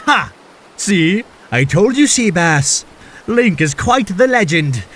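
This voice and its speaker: A man's voice: sits high, very animated, slow pace, a bit bright, exaggerated voice. An actor playing a flamboyant, cheerful and heroic fish-man prince in an audio drama, boisterous and declamatory. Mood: boisterous praise.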